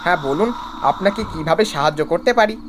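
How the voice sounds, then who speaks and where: A man's voice: fairly high pitch, animated, fairly quick, noisy background, lightly acted. A man playing a bold, insistent young traveller in a horror cartoon. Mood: eager.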